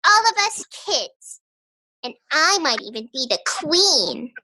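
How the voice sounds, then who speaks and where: A woman's voice: high voice, big pitch swings, slow, bright, forceful tone, a little hiss or hum, heavily acted. A woman playing a blunt, cheerful, matter-of-fact young girl in a radio play. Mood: eager, insistent. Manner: childlike, blunt.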